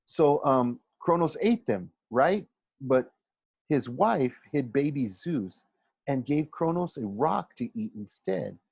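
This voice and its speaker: A man reading a storybook as an earnest, quick-tempered schoolboy, unsure and questioning. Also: medium pitch, lively intonation, creaky, a little mellow, breathy edge, dry recording, highs cut off, pitched above the performer's own voice, full character voice. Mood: unsure, explaining. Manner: hesitant, questioning.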